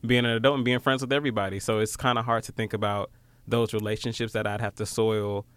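A man's voice: lowish voice, flat delivery, quick pace, fairly bright tone, smooth, no room sound. A man in his late twenties with an American accent, talking casually and reflectively in an informal conversation. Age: late 20s. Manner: informal, reflective, acts out quoted remarks.

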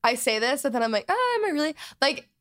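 A woman's voice: sits high, sing-song, fast talker, a bit bright. A young woman, a student, hosting a casual podcast chat, rambling and restarting with lots of "like". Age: young adult. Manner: rambling, heavy filler "like", restarts often. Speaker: podcast host.

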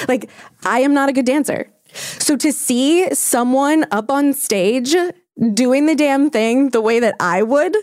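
A woman's voice: high-pitched, slow, darkish tone, no room sound, studio quality. A woman hosting a podcast in casual banter between friends, with a blunt, teasing, sweary manner. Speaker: pop culture podcast host.

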